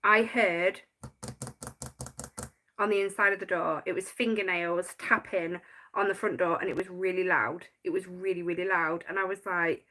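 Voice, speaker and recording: A woman's voice: on the low side, fairly even intonation, normal speed, sharp tone. A woman, chatting casually on a live stream about her notes and laughing often. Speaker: paranormal channel host.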